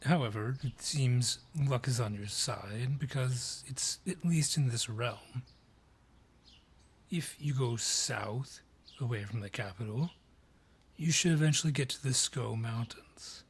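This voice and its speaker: A man voicing an ancient, gruff dryad forest guardian in a fantasy audio drama, husky, brusque and ominous in delivery. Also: mid-range voice. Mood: ominous, wry. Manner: deliberate, brusque, ominous storyteller. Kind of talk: fantasy audio roleplay acting.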